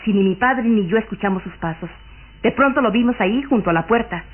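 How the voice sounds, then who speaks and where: A woman's voice: mid-range voice, a little fast, slightly rough, close to an everyday voice. Woman to play insistent, anxious young lady of the manor for old radio serial, tinny band. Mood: indignant. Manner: formal, emphatic questioning. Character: beautiful young duke's daughter, anxious and insistent. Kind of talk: vintage radio drama acting. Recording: phone-like vintage radio recording, music behind.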